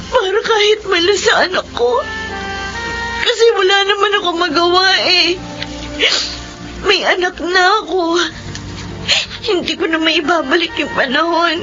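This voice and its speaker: A woman's voice: very high-pitched, highs cut off. A woman playing a wounded, earnest young single mother and student in an emotional, pleading radio drama. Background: music.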